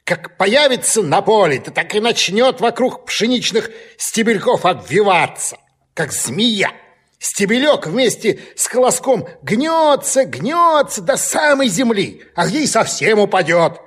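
A man playing a grumbling, scolding know-it-all rook with a raspy, cawing voice in a children's audio play. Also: sits high, big pitch swings, a bit bright, heavily acted. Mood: grumbling, warning. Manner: scolding, emphatic, drawn-out.

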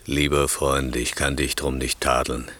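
An actor playing a weary, resigned, grieving king in a classical German radio play, solemn and measured. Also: a bass voice, flat delivery, sharp tone, heavily acted.

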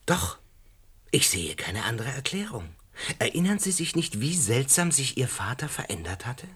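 An actor playing an earnest, awed lodger in a radio drama, grave and halting, with a breathy, whispery voice. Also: male voice, mid-range voice, sing-song, full character voice. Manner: halting, hushed, grave, deliberate. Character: earnest male lodger and butterfly breeder, awed by dread. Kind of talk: German radio drama acting.

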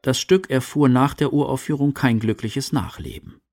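Casting: man, mid register, scripted documentary narration and quote reading, articulate, clear music bed. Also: mid-range voice, moderate pace, darkish tone. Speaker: documentary narrator and reader of quotations.

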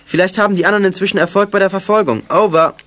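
Male actor as high-voiced, bossy boy detective. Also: steady tone, rapid-fire, close to an everyday voice. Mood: firm, organizing. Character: bossy, level-headed boy detective in a radio club. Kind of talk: 1980s German youth radio play acting.

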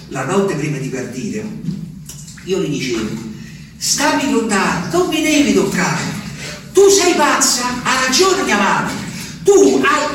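An actor playing a simple, haunted peasant man in a halting, emphatic theatrical monologue for radio drama. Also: extremely high pitch, background noise, heavily acted. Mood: rueful remembering, then anguished, harsh. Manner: halting, emphatic, repetitive storytelling with dramatic swells. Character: simple, haunted Abruzzese peasant man recalling his abandoned wife. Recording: very echoey, distant stage sound with music.

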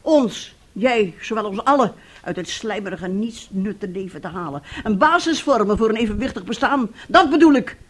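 An actress playing a domineering, bitter middle-aged mother in a radio play, husky and sharp-tongued. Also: medium pitch, expressive, moderate pace, hardly any pauses, lightly acted. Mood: indignant, scolding. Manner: scolding, sharp-tongued, self-righteous.

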